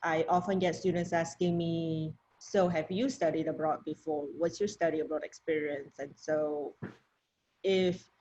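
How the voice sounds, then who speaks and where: A woman from Malaysia speaks as a podcast guest, recounting her path in a measured, conversational way. Her voice sits on the lower side, and the remote call audio comes through dull and lo-fi. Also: steady tone, mellow. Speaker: university program director. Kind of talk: podcast interview.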